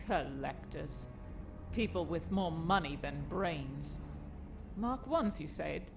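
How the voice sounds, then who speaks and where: An actress playing a stern, brusque starship captain in an audio comic drama, curt, scornful and commanding. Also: female voice, deep voice, expressive, slow pace, vocal fry, over music, full character voice. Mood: intrigued.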